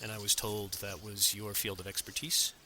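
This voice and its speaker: A man's voice: lowish voice, level tone, normal speed, noisy background, lightly acted. A man playing a casual, polite modern knight in an audio drama, with an apologetic, dryly conversational manner. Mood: dry, earnest.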